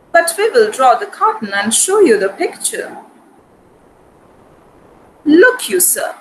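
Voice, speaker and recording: A woman acting a proud, sharp-witted noble lady in a Shakespearean dramatic reading, with an arch, haughty manner. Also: sits high, a little hiss or hum, heavily acted, poor audio.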